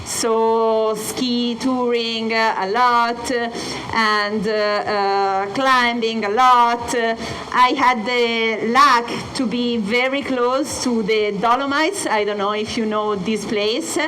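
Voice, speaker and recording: A young Italian woman with an Italian accent, presenting as a mountain guide and pausing often with filler sounds. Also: on the high side, fairly even intonation, a bit bright, slight background noise, rough recording. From Italy. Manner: many filler sounds and pauses. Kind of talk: hesitant presentation talk. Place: hotel event space.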